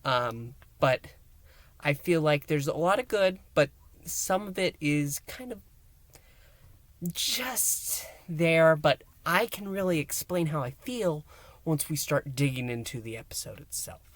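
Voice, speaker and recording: A man hosting a review and recap, speaking in an emphatic, theatrical way with dramatic pauses. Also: a little high, expressive, fairly bright tone, dry recording. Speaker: host, reviewer. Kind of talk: enthusiastic scripted-style recap and review to listeners.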